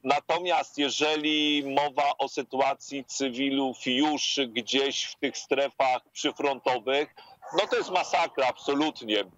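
A man in his fifties reports live from outside on a street, full of fillers and pauses. His voice comes through a video-call line that sounds dull and lo-fi, with a mid-pitched tone. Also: a bit bright, dry recording. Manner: hesitant, many fillers and pauses. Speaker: war correspondent. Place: outdoors on a residential street with trees. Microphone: earphone headset on a video link.

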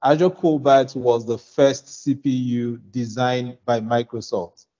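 A middle-aged man hosts a relaxed tech conversation over a video call. His tone is somewhat mellow at an average pitch. The sound is slightly muffled. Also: measured pace. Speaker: tech show host. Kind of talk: casual tech discussion. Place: small office, video call.

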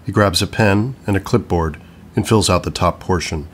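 A man's voice: low voice, normal speed, slight background noise. A man reading scripted stage directions as the narrator of an audio play.

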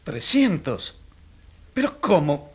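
An actor playing a cheated, pleading poor labourer in a radio drama. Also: male voice, on the high side, sing-song, slow, slightly rough, heavily acted. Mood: dismayed, pleading. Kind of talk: Spanish-language radio drama acting.

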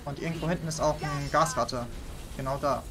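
A man's voice: fairly high pitch, steady tone, a little fast, a crowd in the background. A German man hosting a gaming video, chatting casually and laughing often while he plays. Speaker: gaming channel host. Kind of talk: casual gameplay chat.

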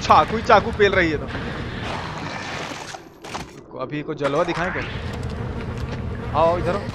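Guy w doing live game commentary, high voice, excitable n sweary, noisy background. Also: unhurried. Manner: excitable, repetitive, slangy and foul-mouthed. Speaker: game streamer. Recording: clear background noise.

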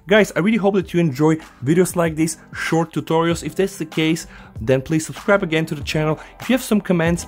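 A man with a fairly high-pitched voice hosts a casual tech walkthrough. He speaks straight to the viewer in an informal, step-by-step way. Music plays behind his voice. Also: darkish tone, slightly rough. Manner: addresses viewers directly and informally. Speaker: tech tutorial host. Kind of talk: casual hands-on phone tutorial narration.

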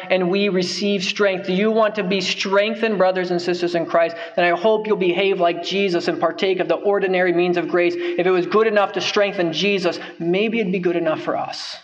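A man's voice: high-pitched, muffled recording. A young man preaching from a church pulpit, earnest and emphatic, stressing points by repeating phrases. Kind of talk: sermon. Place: church sanctuary, at a wooden pulpit. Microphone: pulpit microphone.

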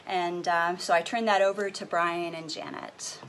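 A middle-aged woman minister giving an informal, unscripted introduction to a reading, halting as she searches for words. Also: lowish voice, fairly even intonation, a bit bright. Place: indoor room, video recording. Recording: background noise, room ambience.

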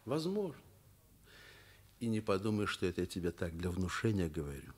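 An actor playing a kindly, firm middle-aged school director in a Soviet radio drama, fatherly and gently ironic. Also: low voice, sing-song, normal speed, slightly rough, heavily acted. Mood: sympathetic.

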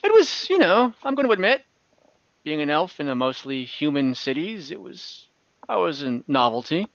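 A man's voice: a little high, very animated, no room sound. A man playing a rueful older elf, a retired adventurer, who speaks in a hesitant, earnest way in a tabletop game. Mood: wistful, awkward. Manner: hesitant, halting, earnest storytelling. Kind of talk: in-character tabletop roleplay. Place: indoors on a group video call. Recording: dull, lo-fi online call audio.

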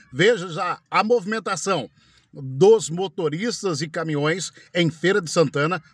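A man's voice: on the high side, fairly bright tone. A man, hosting an urgent news report to a phone camera from inside a parked car. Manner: urgent, announcing tone. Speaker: news show host. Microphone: phone held at arm's length.

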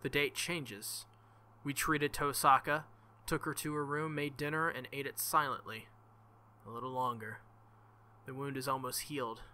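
Young guy reading a visual novel out loud, chatty and animated, bright tone. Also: mid-range voice, normal speed. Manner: animated, laughs and thinks aloud. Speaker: let's play host.

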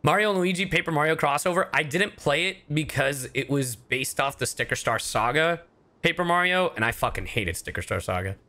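A man's voice: a little high, brisk. A man hosting a livestream, chatting casually and informally with his viewers while playing a game. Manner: animated, informal, profane. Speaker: streamer, host.

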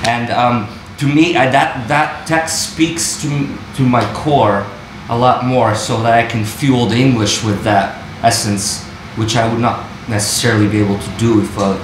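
A man's voice: mid-range voice, reverberant, noisy background. A man, an actor and translator, answering a panel question thoughtfully and restarting his sentences as he searches for words. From Bulgaria. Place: wood-panelled university room, audience present.

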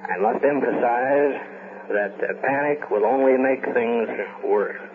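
An actor playing a grave American mayor making a formal, halting public address in a 1970s radio drama. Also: male voice, medium pitch, natural voice. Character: grave male mayor addressing a frightened city. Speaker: city mayor. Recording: noisy, tinny 1970s radio recording.

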